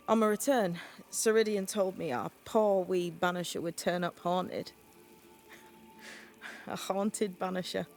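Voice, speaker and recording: A woman playing a blunt, sly young witch's novice in a video game, wry and teasing in a deadpan way. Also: mid-range voice, slight background noise. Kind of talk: video game cutscene acting.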